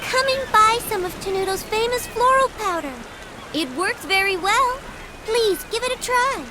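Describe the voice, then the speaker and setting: A woman voicing a gentle, downhearted young girl in a video game, speaking softly and politely. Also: very high-pitched, normal speed, noisy background. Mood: pleading, hopeful. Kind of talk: video game cutscene voice acting. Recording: music behind the voice.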